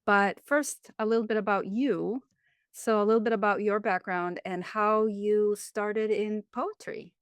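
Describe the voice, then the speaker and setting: A woman's voice: medium pitch, steady tone, measured pace, clear, resonant tone, no echo. A woman hosting a podcast, asking her guest questions with brief encouraging replies. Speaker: podcast host. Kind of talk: interview hosting.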